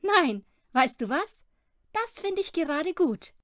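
A woman voicing a patient, slightly weary mother in a storybook, gently firm. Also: high-pitched, big pitch swings, slow, no room sound, clean studio sound, voice pushed up, heavily acted. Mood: amused.

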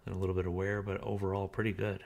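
Low-voiced man casually reviewing a car. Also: rapid-fire, gravelly. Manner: relaxed, reads listing aloud with filler uhs. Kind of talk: casual screen-recorded commentary.

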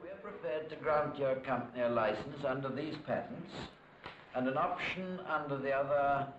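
A man's voice: mid-range voice, moderate pace, fairly bright tone, close to an everyday voice. A man playing a formal company negotiator in a 1950s film. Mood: businesslike. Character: formal male British company negotiator at a meeting. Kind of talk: 1950s dramatised documentary dialogue. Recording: dull, echoey, hissy old film soundtrack.

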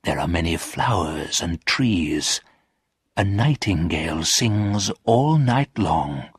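Man voicing a very deep, husky old ghost. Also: animated, no echo, studio quality, full character voice. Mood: wistful, hushed. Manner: slow, weary, solemn delivery. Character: lonely, sorrowful old male ghost, centuries old.

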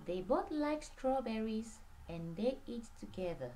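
Slow, high-voiced woman storyteller. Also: soft background music, low-quality recording. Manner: expressive, drawn-out storytelling. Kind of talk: scripted children's read-along narration.

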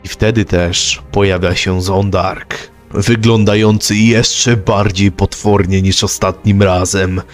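A man's voice: deep voice, steady tone, slow pace, soft background music. A man narrating a scripted story recap.

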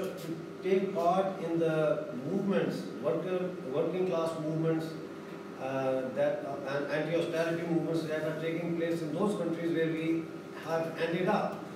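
A middle-aged man seated at a panel table introduces the next speaker, halting often on "uh". His voice is slightly raised and sounds distant from the camera. A heavy echo in the large hall blurs his words, with noise underneath. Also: a little high. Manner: halting, frequent "uh"s. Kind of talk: public talk at a seminar. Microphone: far camera. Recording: very echoey hall from far off.

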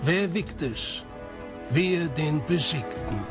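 A male documentary narrator with a fairly high voice reads a prepared script. Music plays clearly behind him. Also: takes it slowly. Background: background music.

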